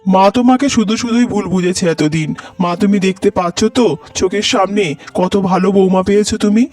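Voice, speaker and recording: A man's voice: high-pitched, a little fast, a little mellow, natural voice. A man playing an earnest, worried poor labourer and husband in a melodramatic cartoon, with a pleading manner. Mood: grateful. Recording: music behind the voice.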